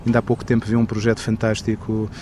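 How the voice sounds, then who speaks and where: A man's voice: lowish voice, completely flat delivery, fast, warm tone, slight background noise. Hesitant male interviewer. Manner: hesitant, many fillers and restarts. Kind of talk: conversational interview.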